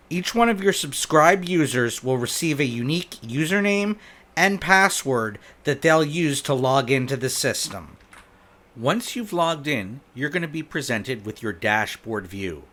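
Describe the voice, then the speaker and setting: Male presenter for a product demo: conversational, instructional, medium pitch, guides step by step. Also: fairly bright tone. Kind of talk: instructional product walkthrough narration.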